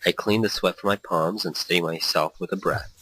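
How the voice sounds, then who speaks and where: A man's voice: sits low, fairly even intonation, fairly quick, sharp tone, no echo, rough recording. A man hosting a gaming let's play, reading story text aloud with playful, rambling asides and small stumbles. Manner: playful, rambling, stumbles over read lines.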